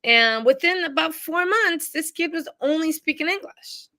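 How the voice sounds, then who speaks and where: Bright, dry-voiced young woman guest. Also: high voice, lively intonation, normal speed, no echo. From Boston, USA. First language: Cape Verdean Creole. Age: young adult. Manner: earnest, reflective, many "you know" fillers. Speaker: podcast guest, author and teacher. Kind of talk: casual interview chat.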